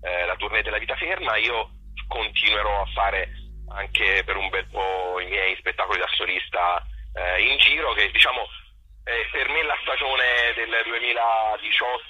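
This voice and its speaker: A man's voice: fairly low pitch, normal speed, sharp tone, husky, low-quality recording. A man chatting casually in an interview, rambling and jokey with hesitant phrasing. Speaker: theatre author-actor being interviewed.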